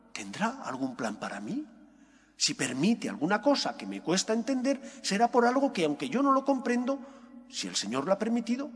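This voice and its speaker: A middle-aged man with a Spain accent preaches in a large, echoing church. His pitch rises and falls in lively swings as he explains point by point with firm emphasis. The voice is somewhat husky, and he sets off phrases with deliberate pauses. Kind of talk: sermon, homily. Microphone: gooseneck microphone at lectern.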